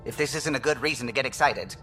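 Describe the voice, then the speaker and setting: An actor voicing an eager, excitable male alien starship pilot in a video game, with a chirpy, teasing manner. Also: medium pitch, fast, soft background music, natural voice, low-quality recording. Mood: eager, playful. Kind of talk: video game cutscene acting.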